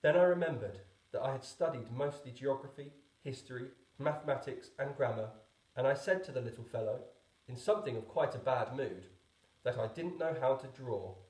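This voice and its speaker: A man narrating a storybook as a teacher would, expressively, with deliberate pauses. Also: medium pitch, animated, a little mellow, echoey room, poor audio. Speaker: teacher reading a book aloud.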